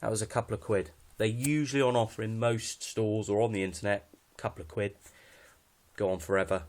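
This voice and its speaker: A man's voice: fairly low pitch, steady tone, brisk, a little mellow. A man with a British accent casually walking through his kit, unscripted, in an understated, matter-of-fact and slightly hesitant way. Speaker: hobbyist presenter showing his kit. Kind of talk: casual unscripted gear walkthrough.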